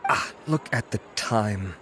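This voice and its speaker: Animated, courteous male researcher. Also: on the low side, slow pace, slight background noise, full character voice. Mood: polite. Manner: polite, earnest, formal. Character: courteous, smooth-talking male researcher devoted to beauty. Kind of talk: video game character acting.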